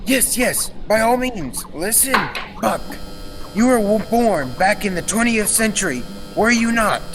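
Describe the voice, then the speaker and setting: A man's voice: high voice, measured pace. A young man playing an earnest, proud scientist inventor in an old-time radio serial, explaining things formally. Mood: excited. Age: teenager or young adult. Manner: formal, explanatory, technical delivery. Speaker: student actor. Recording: reverberant hall, steady background noise.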